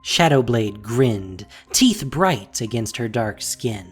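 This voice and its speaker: A woman narrating a fantasy audio drama in an expressive, scripted storytelling style. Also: very deep voice, takes it slowly, slightly rough, faint music behind.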